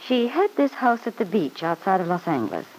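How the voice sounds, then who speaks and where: A woman's voice: mid-range voice, sing-song, brisk, close to an everyday voice. A woman acts out a scheming middle-aged mother-in-law in a vintage radio drama. Her voice swings expressively through spite, insinuation and self-justification. It comes over a noisy old broadcast recording. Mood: insinuating, confiding. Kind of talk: old-time radio serial acting.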